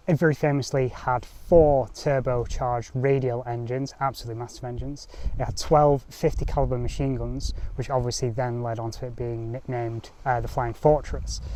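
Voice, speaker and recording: A man's voice: medium pitch, a little mellow, slight background noise. A young man talking casually to camera outdoors on a mountainside, with lots of ums and restarts. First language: English. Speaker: history vlogger. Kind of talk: casual history talk to camera.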